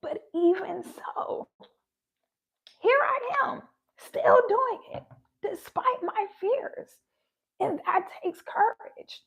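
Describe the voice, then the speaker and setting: A woman's voice: extremely high pitch, animated, very slow, low-quality recording. A woman giving a heartfelt spiritual talk, her voice shaky and sounding close to tears. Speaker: spiritual vlogger.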